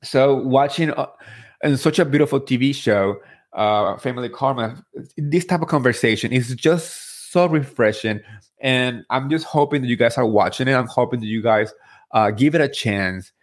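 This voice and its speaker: A man's voice: mid-range voice, normal speed. A man from Spain with a Spanish accent hosting a podcast, talking through his thoughts in an earnest, heartfelt way with many fillers and restarts. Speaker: podcast host. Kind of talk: casual solo commentary.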